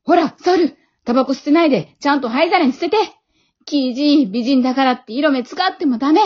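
An earnest young boy hero voiced by a woman in a comedy radio drama. He has a high, boyish voice and an exasperated, pleading way of talking. The audio is a bit dull. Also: a little fast, a little mellow, natural voice. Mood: flustered, scolding.

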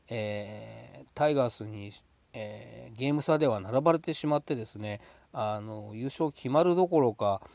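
A man's voice: medium pitch, darkish tone, dry recording. Man chatting casually, dry close sound. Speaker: podcast host. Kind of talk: casual solo chat.

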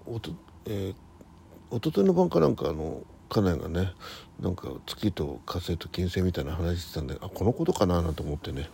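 A man in his sixties hosting a casual solo radio chat, rambling haltingly and often correcting himself as he goes. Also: sits low, vocal fry, mellow, a little hiss or hum. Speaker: host of a morning audio diary show.

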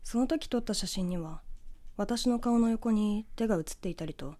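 A woman narrates a scripted ghost story with a dark, relaxed tone. Her pitch sits in the middle for a woman. She tells it in the first person with a measured storyteller's delivery.

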